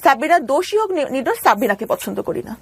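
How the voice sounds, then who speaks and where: A woman's voice: sits high, sing-song, quick pace. A Bangladeshi woman telling her own story as an interview guest, earnest and explanatory.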